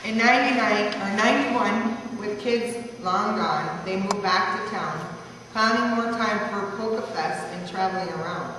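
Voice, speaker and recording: A woman reads a rhyming tribute aloud in a very echoey hall, picked up from a distance. There is clear noise around her medium-pitched voice. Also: unhurried. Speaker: relative reading a birthday tribute. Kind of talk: reading a rhyming life story aloud. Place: large echoey room at a family party. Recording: echoey hall from far off, noisy.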